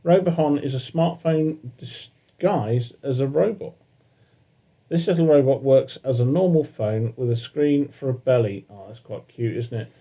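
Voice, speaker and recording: A man co-hosting a casual chat show, reading articles aloud with hesitant pauses. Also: medium pitch, muffled recording. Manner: hesitant, fillers while reading. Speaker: podcast co-host.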